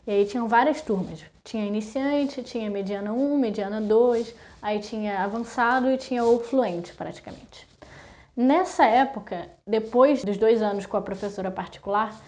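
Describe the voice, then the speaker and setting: A young Brazilian woman chatting to her viewers in a relaxed vlog. Her voice sits a little high and sounds warm and mellow. She talks casually and rattles off lists in quick runs. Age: young adult. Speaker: vlogger. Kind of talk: casual talk to camera.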